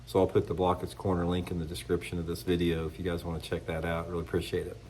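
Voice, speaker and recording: A man's voice: sits low, completely flat delivery, fast, a little mellow, slight background noise. A middle-aged man, congested from a cold, giving a blunt, rambling opinion monologue on his fishing channel. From United States. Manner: rambling, blunt, restarts often. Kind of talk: casual opinion monologue to camera.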